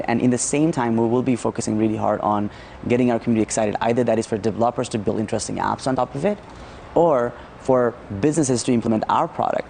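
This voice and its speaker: A man's voice: lowish voice, creaky, a little mellow, slight background noise. A man from Nepal answering interview questions as a startup founder, often repeating words and checking in with "right?".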